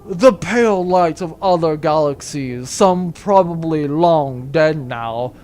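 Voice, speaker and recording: An actor playing a grandiose, pompous space adventurer with theatrical delivery in a comic sci-fi audio drama. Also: male voice, fairly high pitch, animated, slow pace, darkish tone. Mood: grand.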